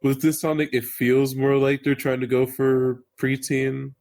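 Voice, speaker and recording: A man chatting casually as a podcast panelist, offering his thoughts in a hesitant, measured way. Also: medium pitch, monotone, darkish tone. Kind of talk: casual chat.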